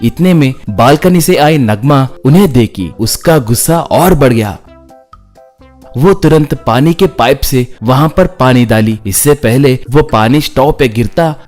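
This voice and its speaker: Slow-paced male storyteller. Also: medium pitch, a little mellow. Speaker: story narrator. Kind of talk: scripted moral-story narration in Hindi.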